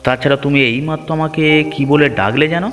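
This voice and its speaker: A man's voice: on the high side, steady tone, quick pace, a bit bright, close to an everyday voice. A man voicing a kind-hearted village fisherman in a cartoon story, concerned and coaxing, with music behind. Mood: kindly, coaxing. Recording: background music.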